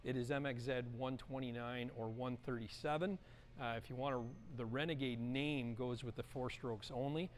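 A middle-aged man talks casually while showing features up close, his pitch sitting in an ordinary middle range. He is relaxed and chatty, with frequent filler sounds. He is recorded on a handheld action camera inside a large indoor showroom. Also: normal speed, slight background noise. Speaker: vlogger touring a snowmobile dealership. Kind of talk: casual walkthrough presenting.

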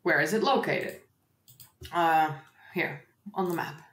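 A woman's voice: sits low, takes it slowly, reverberant. A Dutch-born woman hosting a history livestream, chatting casually and reading an article aloud with rambling asides and self-corrections. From Netherlands.

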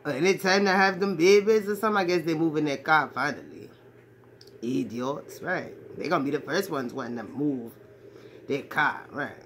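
A woman's voice: low voice, normal speed, background noise, lightly acted. A woman playing a brash, crude man in his forties who talks like a swaggering ladies' man, rambling to a phone camera in a small bedroom. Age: 40s. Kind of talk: comedic character monologue to camera. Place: small cluttered bedroom indoors. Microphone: phone at arm's length.

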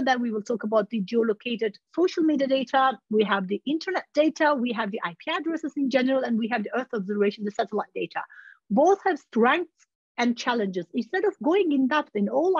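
A woman's voice: a little high, breathy edge, dry recording. A woman, giving an academic webinar lecture over a video call, talking casually with many "you know, like" asides and self-corrections. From Turkey. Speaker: university researcher giving a webinar. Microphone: laptop video call. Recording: muffled video-call audio.

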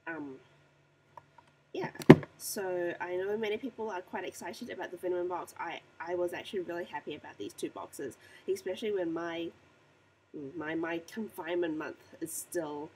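Croaky young woman chatting on a webcam. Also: low voice, a little hiss or hum, rough recording. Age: young adult. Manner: chatty and rambling, many ums. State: croaky voice from the flu. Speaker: beauty vlogger. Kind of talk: casual unboxing vlog to camera. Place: small bedroom indoors. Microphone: webcam at desk distance.

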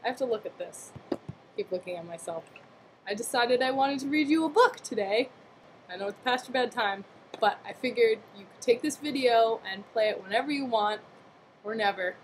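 A woman's voice: fairly high pitch, normal speed, slight background noise, poor audio. A young adult woman doing a read-aloud storytime for small children, playful, with chatty questions and exclamations. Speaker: aunt reading a picture book to young relatives. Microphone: computer webcam mic at arm's length.

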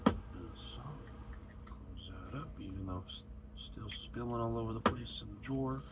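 A man's voice: medium pitch, normal speed, very noisy. A man with an American accent, a truck driver chatting casually to camera while he cooks in his cab. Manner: relaxed, rambling, talks to camera while cooking. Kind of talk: casual vlog chat while cooking. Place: inside a truck cab at a truck stop.

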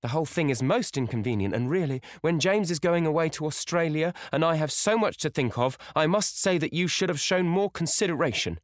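A man's voice: fairly high pitch, expressive, a little fast, few pauses, dry recording, studio quality, pitched above the performer's own voice, lightly acted. A man voicing a fretful, worldly older actress mother who talks in a querulous, fussy way.

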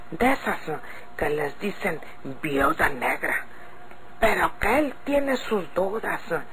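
A man with a Mexican accent playing a plainspoken, loyal country servant with halting, folksy talk in an old radio drama. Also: high-pitched, gravelly, heavily acted. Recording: noisy vintage radio recording.

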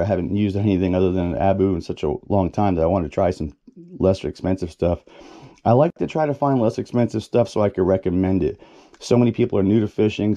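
A man in his forties hosting a fishing channel, talking through a piece of gear in a relaxed, rambling, plain-spoken way with fillers, recorded indoors at a workbench on a head-mounted camera. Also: sits low. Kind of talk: casual gear review. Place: small indoor tackle room at a workbench.

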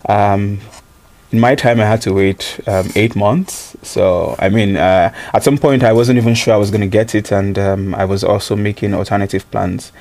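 Low-voiced man, thoughtful. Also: steady tone, moderate pace, darkish tone. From Nigeria. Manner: thoughtful, many ums and uhs, laughs easily. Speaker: interview guest, student. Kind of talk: casual interview answers.

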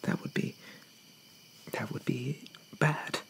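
A man playing a shy, apologetic young zombie in an ASMR roleplay, with hesitant, stammering, old-fashioned politeness and a soft whisper. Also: slow, lightly acted. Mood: wistful, self-deprecating. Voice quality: soft, breathy whisper with creaky edges.

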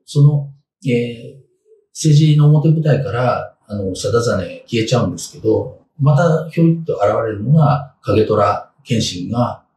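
Casting: man, 60s, expert guest, halting explainer with animated intonation, recorded roomy and distant. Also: mid-range voice. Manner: halting, frequent pauses while reasoning aloud. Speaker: guest historian. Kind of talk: explanatory interview answers, conversational. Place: small indoor room, seated at a table. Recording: roomy, distant mic, faint noise.

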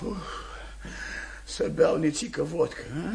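An actor playing a boorish, hot-tempered landowner and creditor, blustering and grumbling in 1950s radio theatre style. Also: male voice, a little high, gravelly, dark tone. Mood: grumbling, miserable. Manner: bellowing, blustering, grumbling to himself.

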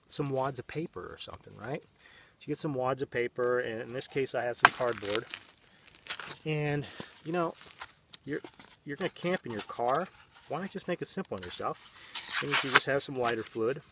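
Casual man in his thirties, outdoors, chatty and meandering, medium pitch, picked up from a few feet off with light background noise. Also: distant mic. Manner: rambling, conversational, many fillers and restarts. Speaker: vlogger. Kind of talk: casual how-to demonstration. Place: outdoors in open desert. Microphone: camera mic, on-camera and off-frame.

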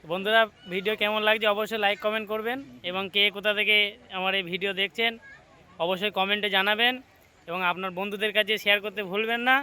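A man giving excited, casual vlog commentary outdoors, speaking straight to his viewers. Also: high-pitched, fast talker, clean tone. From West Bengal, India. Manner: excited, addresses viewers directly. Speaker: vlogger. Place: outdoors beside a pond.

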